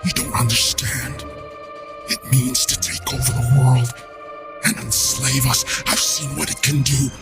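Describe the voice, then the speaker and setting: Man doing a broken doomed man, low voice, weak, stammering, very slow, loud music behind. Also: little pitch movement, natural voice. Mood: fearful. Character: broken, frightened man possessed by a demon. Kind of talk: audiobook character voice. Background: background music.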